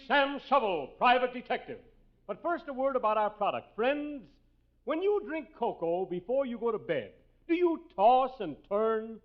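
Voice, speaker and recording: An actor playing a solemn, formal pitchman announcer in a 1940s radio comedy's spoof commercial. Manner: formal, salesman-like delivery. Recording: dull vintage radio recording, faint noise.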